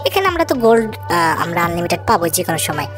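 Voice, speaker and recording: A woman's voice: on the low side, sing-song, very fast. A woman with a Bangladeshi accent hosting a gaming video, chatting casually to viewers as she comments on her gameplay. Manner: chatty, addresses viewers directly with casual asides. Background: background music.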